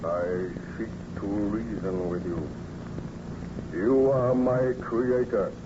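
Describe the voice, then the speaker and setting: A slow, solemn, vengeful monster. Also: male voice, on the low side. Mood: pleading. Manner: solemn, declamatory, drawn-out phrasing. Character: vengeful, pleading male monster, hideous outcast, grandly menacing. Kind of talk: old-time radio horror acting. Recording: noisy, muffled old radio recording.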